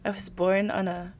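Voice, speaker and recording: A woman's voice: medium pitch, darkish tone, slight background noise. A young woman from California, a podcast co-host, chatting casually in long rambling answers full of "like" and laughs. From California, USA. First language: Tagalog.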